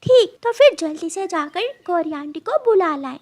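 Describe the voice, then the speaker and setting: Bossy, high-voiced sparrow girl voiced by a woman. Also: lively intonation, moderate pace, darkish tone. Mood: bossy, excited. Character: bossy young girl sparrow, older sibling. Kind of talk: Hindi children's cartoon dialogue.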